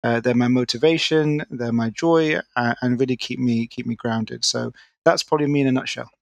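A man's voice: mid-range voice, fairly even intonation, gravelly, no echo. Articulate man in his thirties. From Manchester, England. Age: 30s. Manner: articulate, explanatory, quick with restarts. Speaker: guest orthodontist. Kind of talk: podcast interview.

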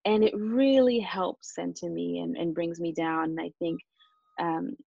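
Woman whose first language is japanese, earnest chill interview talk, gravelly fry, close dry slightly muffled mic. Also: lowish voice, fairly even intonation, unhurried, mellow, no room sound. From Japan and Australia. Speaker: interview guest, musician. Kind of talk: reflective conversational interview.

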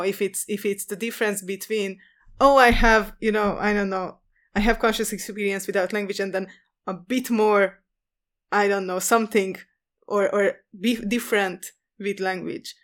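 A woman joins an academic discussion, speaking at a middle pitch. She is chatty and restarts her sentences often as she works through her ideas. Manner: chatty, many fillers and restarts. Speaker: podcast co-host.

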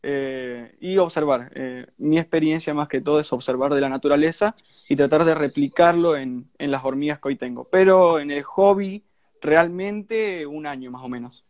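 A man's voice: a little high, normal speed, darkish tone, dry recording. A seventeen-year-old young man from Argentina with an Argentine accent, casually explaining his hobby as a guest on a live chat. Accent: Argentine Spanish. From Santa Fe, Argentina. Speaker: guest hobbyist ant keeper.

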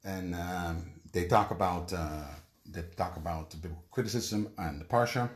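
A man from the Netherlands hosting a casual podcast discussion, talking in a somewhat halting, hesitant way. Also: low-pitched. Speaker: podcast host.

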